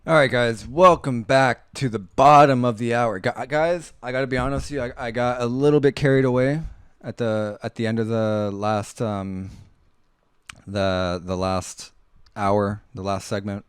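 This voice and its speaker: A man hosting a casual live radio talk show, rambling through listener comments in a halting way and often repeating words and phrases. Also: medium pitch, fairly even intonation, clear, resonant tone, no room sound, studio quality.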